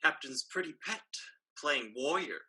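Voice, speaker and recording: A man's voice: on the high side, expressive, measured pace, pushed and bright, breathy edge, exaggerated voice. A man acting a cocky, lewd pirate crewman who taunts and mocks, in a staged play reading. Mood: sneering. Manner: taunting, mocking.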